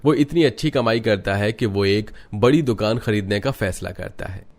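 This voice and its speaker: Low-pitched man narrating a story. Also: moderate pace. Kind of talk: scripted narration of a moral cartoon story.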